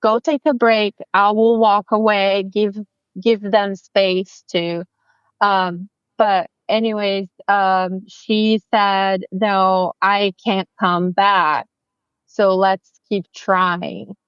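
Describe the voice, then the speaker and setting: Brazilian-accented woman, interview guest; warm, chatty, unhurried, dull dry recording. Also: medium pitch, little pitch movement, clear, resonant tone, dry recording. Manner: friendly, chatty, many fillers and restarts. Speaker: family photographer, guest. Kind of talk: casual interview chat.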